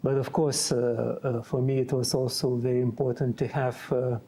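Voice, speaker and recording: A man, a glass artist, explaining his artwork in a halting way with many filler sounds. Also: medium pitch, level tone, mellow.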